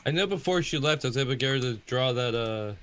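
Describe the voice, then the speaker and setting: A man's voice: medium pitch, lively intonation, fast, fairly bright tone, a little hiss or hum. A man chatting casually as a guest on a livestream, easygoing and self-deprecating. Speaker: guest on a development livestream, artist. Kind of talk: casual chat. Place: online voice call.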